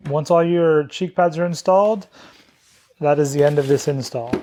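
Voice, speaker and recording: A man's voice: fairly high pitch, normal speed, mellow, a little hiss or hum. A man narrating a step-by-step product installation tutorial as a demonstrator.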